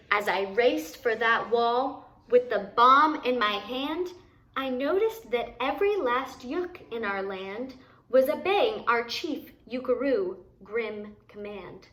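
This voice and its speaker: A woman reading a picture book aloud to children in an expressive, deliberate way, adding simple asides. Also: on the high side, roomy sound. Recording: noticeable background noise.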